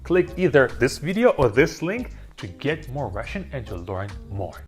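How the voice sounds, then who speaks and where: An auto-dubbed teacher's voice walks through beginner phrases, repeating each word slowly. The pitch moves in a lively way, and faint music plays underneath. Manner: slow, repeats words for learners. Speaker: language teacher. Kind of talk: scripted lesson narration.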